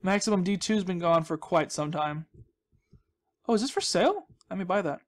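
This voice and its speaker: A man's voice: high-pitched, animated, normal speed. A man hosting a gaming channel with casual, rambling commentary, playful and goofy, often repeating his words and phrases.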